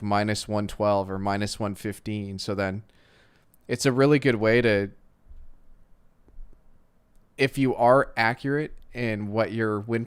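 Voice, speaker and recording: A man speaks in a somewhat low, clear and smooth voice. He reasons things through casually, circling with asides as he explains, in the style of an informal sports and betting livestream.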